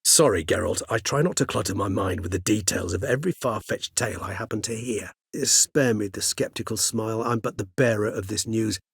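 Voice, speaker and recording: Video game role: ancient gentleman vampire, low and slightly husky, courteous, learned, wryly melancholy, formal diction. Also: dry recording, clean studio sound, heavily acted. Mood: gently wry. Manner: formal, eloquent, measured, wryly philosophical. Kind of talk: video game character dialogue.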